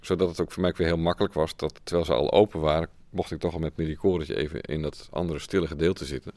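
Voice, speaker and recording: A man's voice: a bass voice, fairly even intonation, quick pace, darkish tone, dry recording. A man talking casually as a sound designer in an interview, informal and hesitant. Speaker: radio drama sound designer. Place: studio.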